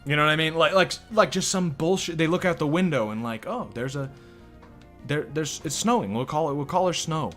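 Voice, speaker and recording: A man streaming a video game, chatting loosely and swearing often as he answers his viewers. His voice is bright and forward, with faint game music under it. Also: fairly high pitch, fairly quick. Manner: profane, rambling, reacting to chat. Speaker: let's play streamer. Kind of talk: casual gameplay commentary.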